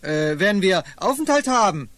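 An actor playing a calm, reassuring father on a family holiday in a 1980s German radio play. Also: high-pitched, moderate pace. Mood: calling out.

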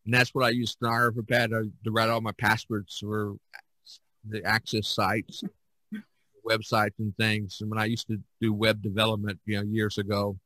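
A middle-aged man chatting casually as a podcast host, rambling and halting as he searches for words. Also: fairly low pitch, monotone, bright, forceful tone, dry recording, poor audio. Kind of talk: casual chat.

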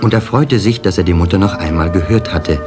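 A man narrating a children's radio play as an expressive storyteller. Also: deep voice, a little fast, music in the background.

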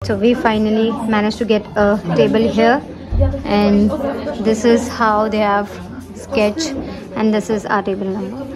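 A young woman vlogging casually and excitedly to a phone held at arm's length, with lots of fillers. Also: mid-range voice, measured pace. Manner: chatty, excited, many fillers. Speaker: travel vlogger. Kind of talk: casual travel vlog. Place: dim themed cafe indoors, then busy street outdoors. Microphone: handheld phone at arm's length. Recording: loud crowd chatter behind.

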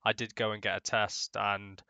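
A man's voice: lowish voice, flat delivery, normal speed, sharp tone, no echo. A young man with a cold, sounding nasal, giving rambling casual commentary over his game footage. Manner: rambling, many ums and restarts, swears casually. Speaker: gaming YouTuber.

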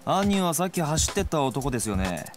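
An actor playing a cool young policeman in a video game, detached and unhurried, needling with sly politeness. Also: a little high, very animated, music in the background. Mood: coolly taunting.

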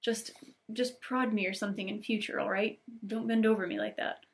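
A woman's voice: medium pitch, fairly even intonation, fairly quick, a little hiss or hum, close to an everyday voice. Brief: teenage boy, plain and a bit hesitant, woman reader doing his lines. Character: teenage boy wizard, startled then kind.

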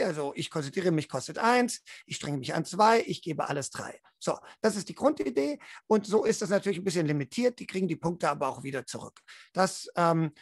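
A young man with a soft, calm voice, explaining game rules as storyteller in a measured, casual way. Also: sits high, no room sound, clean studio sound. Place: room at home, on a video call.

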